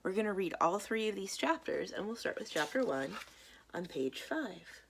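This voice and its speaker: A woman reading children's stories aloud as a library storytime reader, in a deliberate, expressive way. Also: medium pitch, gravelly, a little hiss or hum.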